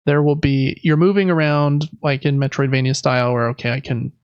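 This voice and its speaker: A man's voice: mid-range voice, steady tone, fairly quick, smooth, dry recording, muffled recording. A man co-hosting a podcast, chatting casually about video games in a rambling, enthusiastic, informal way. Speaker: podcast co-host.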